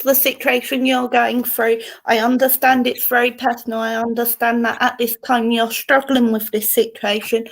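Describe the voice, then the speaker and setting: English woman hosting a chatty card reading. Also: fairly high pitch. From England. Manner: chatty, greets viewers by name. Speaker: host and card reader. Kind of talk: casual live-stream chat and card readings.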